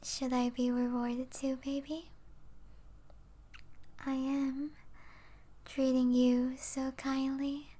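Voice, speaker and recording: Woman acting as a vampire princess, high voice, very slow pace, possessive, seductive, soft teasing ASMR roleplay. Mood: coaxing, possessive. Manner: slow, teasing, soft delivery with intimate whispers.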